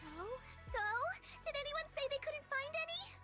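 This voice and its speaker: A woman voicing an earnest, excitable young girl in a video game. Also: very high-pitched, moderate pace, full character voice. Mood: anxious. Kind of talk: animated video game voice acting. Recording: game music playing behind.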